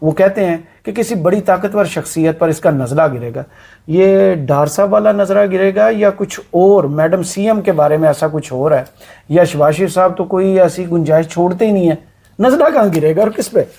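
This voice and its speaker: Sarcastic high-voiced male talk host. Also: moderate pace, vocal fry, dark tone. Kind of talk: political talk show.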